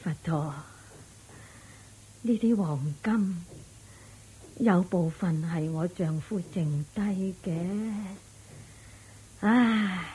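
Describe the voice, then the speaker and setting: A practical widowed mother who frets and scolds in a Buddhist radio drama. Her Hong Kong Cantonese is slow and dark, with a husky edge. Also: fairly low pitch, heavily acted. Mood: fretful, worried. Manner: sighing, fretful, scolding at interruptions. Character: anxious, practical widowed mother of two grown sons.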